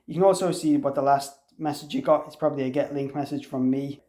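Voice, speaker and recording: A man's voice: mid-range voice, steady tone, fast talker, dark tone. A man giving an informal, unscripted product tutorial, explaining things conversationally and thinking aloud as he demonstrates. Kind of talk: informal software walkthrough, unscripted. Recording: roomy, echoey room sound.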